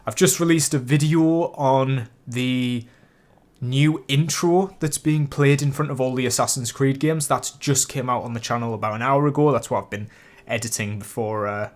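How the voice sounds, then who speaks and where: A man's voice: mid-range voice, normal speed. A man hosting a casual live stream, chatty and rambling while getting over a cold with a cough and sniffle. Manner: chatty and rambling, with many fillers and restarts. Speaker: YouTube host streaming a live reaction.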